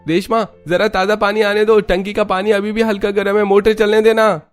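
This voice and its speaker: Young household son, acted by a man, demanding, very high male pitch, cartoon story, faint music. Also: little pitch movement, normal speed, clear, resonant tone, close to an everyday voice. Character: demanding, self-absorbed young men of the household.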